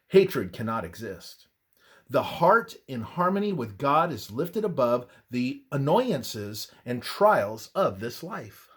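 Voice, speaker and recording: A man reading a scripted religious text aloud in a solemn, measured, preacherly way, as in devotional narration. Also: a little high.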